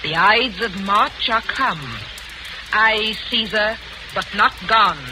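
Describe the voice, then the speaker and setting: A woman's voice: mid-range voice, slow pace, music in the background, lightly acted. A woman playing a haunted, middle-aged retired actress, intense and theatrical, in an old radio drama. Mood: dread. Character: haunted, fearful retired great actress, wife. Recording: noisy old radio recording.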